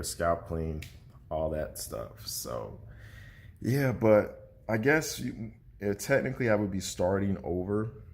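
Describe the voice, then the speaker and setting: A man's voice: low-pitched, darkish tone. A congested young man vlogging casually at home, rambling with lots of fillers and restarts. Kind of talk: casual vlog chat to camera.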